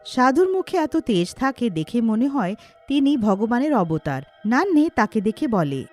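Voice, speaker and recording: A woman narrates a scripted cartoon story. The delivery is animated, with a fairly high voice that moves around a lot, over faint music. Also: unhurried.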